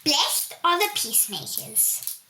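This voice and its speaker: A woman reading a children's storybook aloud in a deliberate, expressive storytelling manner, with emphatic swoops. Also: high-pitched. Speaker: volunteer story reader. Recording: echoey room with background hiss.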